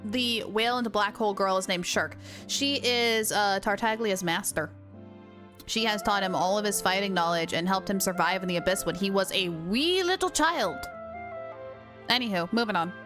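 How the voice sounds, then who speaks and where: A woman streams a game and chats casually with her audience. She has a medium-pitched voice and an excitable, gushing delivery. Faint game music runs behind her. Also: normal speed. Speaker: game livestreamer. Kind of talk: casual live stream commentary and chat.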